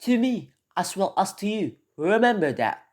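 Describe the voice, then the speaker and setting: A man's voice: high-pitched, very animated, moderate pace, voice pushed up. A man voicing a cunning, defiant lady of the manor in a melodramatic radio drama, theatrical and indignant. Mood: defensive.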